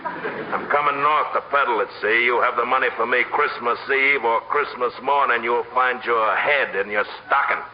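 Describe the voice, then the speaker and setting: An actor playing a sarcastic gangster boss who makes tough, curt, mock-friendly threats in a radio comedy. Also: male voice, mid-range voice, normal speed, lightly acted. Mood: mocking menace. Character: menacing, unhurried gangster boss, coolly sarcastic. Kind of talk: 1950s radio comedy acting. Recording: dull, noisy vintage radio recording.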